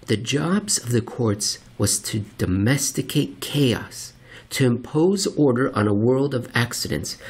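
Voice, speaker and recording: A man narrating a written essay aloud in a deliberate, theatrical way with pointed emphasis. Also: medium pitch, darkish tone, breathy edge. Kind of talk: scripted narration, dramatic essay reading.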